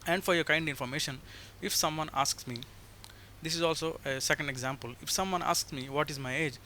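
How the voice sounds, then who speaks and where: A man's voice: a little high, brisk, pushed and bright. A man in his twenties with an Indian accent, explaining scripture in a formal, didactic way and repeating key phrases. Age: 20s. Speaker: religious teacher answering criticism. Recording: faint background noise.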